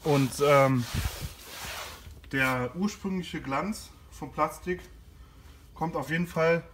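A man's voice: a little high, slow pace, fairly bright tone, a little hiss or hum. A man giving a casual product demonstration as a car care host, informal and a little hesitant while he works. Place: inside and beside a car in a garage.

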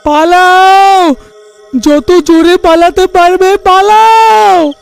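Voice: extremely high pitch, steady tone, very slow, vocal fry, faint music behind, exaggerated voice. A performer voicing a frightened village husband in a horror cartoon, urgent and fretful. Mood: panicked. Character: frightened village husband urging hushed caution and escape.

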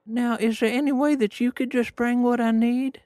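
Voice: mid-range voice, steady tone, moderate pace, dark tone, no echo, clean studio sound, pitched above the performer's own voice, natural voice. A performer putting on a frail, pleading, persistent elderly caller for a prank phone call. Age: older.

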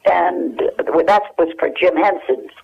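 An American woman in her eighties reminiscing casually and haltingly as an interview guest over the telephone. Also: lowish voice, vocal fry, slightly rough. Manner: halting, searching for memories. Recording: dull, lo-fi telephone hook-up.

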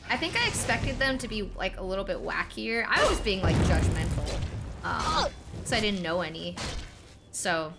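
A young woman with a very high-pitched, almost childlike voice rambles through a story while she plays. She swears casually and laughs at herself. Game music plays clearly underneath her. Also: expressive, moderate pace. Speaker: streamer. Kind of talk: casual gaming stream chat.

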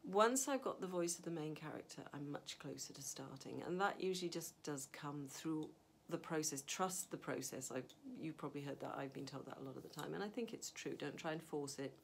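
Low, gravelly-voiced woman giving writing advice. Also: a little fast, few pauses, a little hiss or hum. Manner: chatty, thinking aloud with fillers and restarts. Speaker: novelist. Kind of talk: casual advice talk to camera. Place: home study with a map on the wall.

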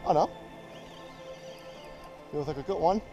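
Guy from new zealand vlogging his fishing on a jetty, slightly high voice, music playing under him, thinks out loud. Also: unhurried, lo-fi sound. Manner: chatty, excitable, thinks aloud. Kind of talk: casual running commentary while fishing. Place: outdoors on a rocky jetty by the sea. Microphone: head-mounted action camera.

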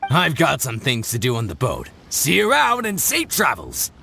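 An actor playing a burly, easygoing, chatty chief mate in a video game, talking informally and hunting for words. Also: male voice, mid-range voice, wildly swooping pitch, moderate pace, vocal fry, pushed and bright, slight background noise, exaggerated voice. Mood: amiable, casual. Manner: breezy, informal, hesitating for words. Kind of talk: video game dialogue acting.

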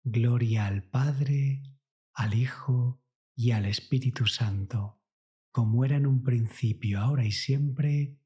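A man reading a scripted devotional meditation in a solemn, measured, reverent way. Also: medium pitch, warm tone. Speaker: narrator of a guided prayer meditation. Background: music.

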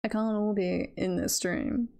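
Playful woman streamer with creaky voice. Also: medium pitch, steady tone, unhurried, dark tone. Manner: giggly, playful, rambling with trailing-off thoughts. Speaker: streamer and voice roleplayer chatting with viewers. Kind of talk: casual live stream chat.

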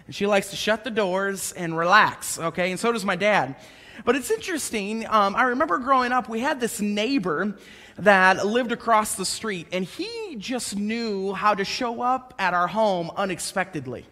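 A man in his thirties preaching a sermon on a church stage, conversational and animated as he tells personal stories. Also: high voice, normal speed. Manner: conversational and animated, gesturing while pacing the stage. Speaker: pastor. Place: church stage, large indoor room. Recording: reverberant church hall.

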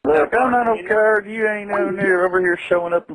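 Male prank caller, somewhat high register, crude and foul-mouthed. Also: fairly quick, a bit bright, low-quality recording. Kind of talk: prank phone call.

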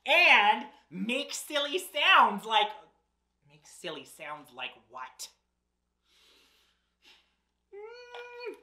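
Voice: high-pitched, wildly swooping pitch, extremely slow, pauses often, pushed and bright. A drag storyteller with a theatrical, exaggerated and playful delivery, reading aloud to children and chatting as host. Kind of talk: animated children's read-aloud and chatty presenting. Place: small indoor set, seated in an armchair. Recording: roomy indoor echo.

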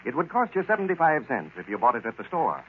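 An American man announcing and pitching a sponsor in folksy, polished 1930s radio style. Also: huge pitch swings, fast. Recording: scratchy, tinny 1930s radio broadcast.

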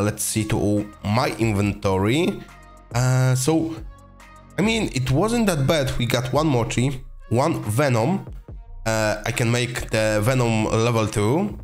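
A young man with a voice hoarse from being sick, chatting casually through a game video and getting excited over rare drops. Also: mid-range voice. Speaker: gaming video host. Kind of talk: casual gameplay commentary.

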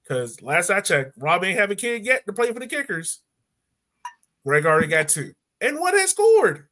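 A man's voice: high-pitched, very animated, normal speed, sharp tone. A man in his thirties hosting a sports podcast from home in a casual, joking style. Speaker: podcast host. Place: room at home, indoors.

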